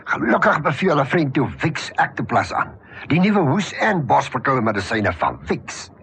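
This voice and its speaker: A man's voice: on the high side, sing-song, normal speed, creaky, a bit bright. A man giving an earnest, persuasive radio advert testimonial.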